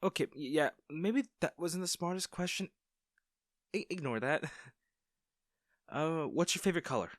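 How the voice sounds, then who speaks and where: A man playing a kind, worried young male rescuer in a roleplay audio drama, speaking in a gentle, hesitant, reassuring manner. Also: fairly high pitch, moderate pace, pauses often, no echo, studio quality, close to an everyday voice. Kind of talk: acted first-person roleplay audio drama.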